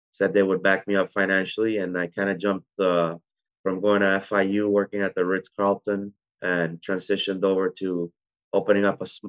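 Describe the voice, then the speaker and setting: A man in his forties from Venezuela, speaking in a fairly low voice as an interview guest. He tells his story loosely, with plenty of ums and restarts. The sound is dull and lo-fi. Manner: rambling, frequent ums and self-corrections. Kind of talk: conversational interview.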